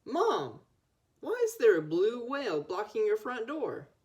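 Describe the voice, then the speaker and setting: A woman's voice: on the high side, sing-song, unhurried, darkish tone, highs cut off, pitched above the performer's own voice. A young woman voicing a put-upon, defensive schoolboy in a picture book. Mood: indignant.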